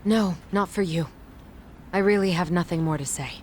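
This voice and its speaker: A woman playing a guarded, guilt-ridden young schoolteacher in a dramatic game cutscene, speaking in a measured, reluctant and earnest way. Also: fairly low pitch, a little hiss or hum, natural voice. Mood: guarded, curt. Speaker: English teacher. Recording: dramatic music behind.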